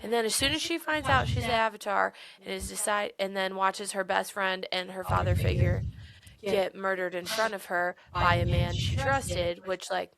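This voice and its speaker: A woman co-hosting a podcast in casual book chat, retelling scenes in an animated way and swearing freely. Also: mid-range voice, normal speed, creaky, low-quality recording. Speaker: podcast co-host.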